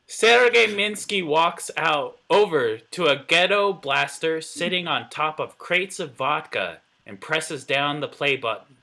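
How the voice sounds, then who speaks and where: A man's voice: on the high side, bright, forceful tone. A man reading scripted narration aloud in an informal read-through, with the odd slip and stumble. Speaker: table reader of script narration and dialogue.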